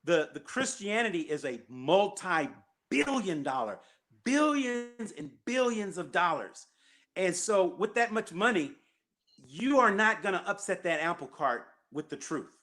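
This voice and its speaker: A man's voice: sits high, sing-song, unhurried, fairly bright tone. A man hosting a call-in show, talking emphatically and repeating his key points. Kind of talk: casual call-in chat.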